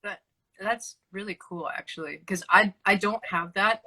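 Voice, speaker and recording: A woman's voice: medium pitch, moderate pace, bright, forceful tone, husky. A female metal vocalist in a casual chat, talking in a chatty, self-deprecating way with lots of asides. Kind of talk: casual conversation about singing.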